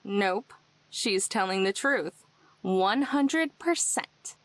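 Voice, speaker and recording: Man putting on a taunting, animated young woman. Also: high voice, drawn-out words, no room sound, pitched above the performer's own voice, heavily acted, low-quality recording. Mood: smug. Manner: dry, taunting. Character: sarcastic, defiant young woman, smug and tough.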